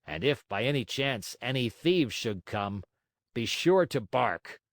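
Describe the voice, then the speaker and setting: Angry farmer for a children's tale, male reader: stern, rough, menacing, close dry sound. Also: medium pitch, big pitch swings, measured pace, no echo.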